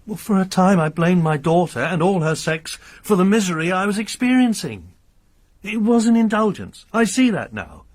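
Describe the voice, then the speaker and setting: An actor playing a grim, pious lodger, a grieving father bent on revenge, who talks in a formal, preaching way with a husky voice. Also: high voice, sing-song, moderate pace, dry recording. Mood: brooding. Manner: formal, sermonizing, quotes scripture. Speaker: lodger under arrest. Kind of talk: radio drama acting.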